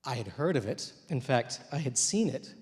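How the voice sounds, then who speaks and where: A man in his forties, a little hoarse, telling a personal story on a theater stage into a handheld mic, reflective and gently humorous with many small pauses and fillers. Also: mid-range voice, sing-song. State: slightly hoarse from many interviews. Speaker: translator and poet. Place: theater stage, dark room. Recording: long reverb of a theater hall.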